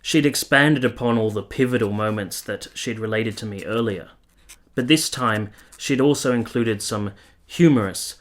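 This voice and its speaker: An actor playing an earnest, reflective nineteenth-century gentleman in a radio drama, with measured, formal phrasing. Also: on the low side, close to an everyday voice. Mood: awed narration. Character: earnest, reflective gentleman of 1870s Italy, troubled lover. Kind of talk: radio drama dialogue and first-person narration.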